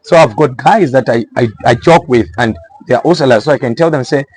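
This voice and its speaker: A man's voice: medium pitch, fairly quick, darkish tone, husky, rough recording. A man with a Zambian accent giving small business advice in an informal explainer style, repeating phrases for emphasis.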